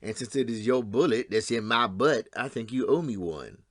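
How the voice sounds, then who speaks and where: A man's voice: medium pitch, animated, normal speed, fairly bright tone, dry recording, clean studio sound. Needling, plain-spoken blue-collar man. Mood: pained, cajoling. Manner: plain-spoken, sardonic, argumentative. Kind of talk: stage-style audio drama acting.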